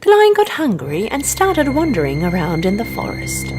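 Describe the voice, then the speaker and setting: A woman reading a scripted children's bedtime story as an expressive narrator. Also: fairly high pitch, normal speed, music in the background.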